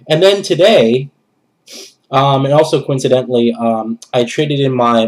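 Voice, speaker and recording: A man's voice: medium pitch, a little mellow, low-quality recording. A young man with a nasal, congested voice from a cold, chatting casually to camera as a gaming vlogger in a bedroom. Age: young adult. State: has a cold. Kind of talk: casual rambling channel update to camera. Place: small bedroom, indoors.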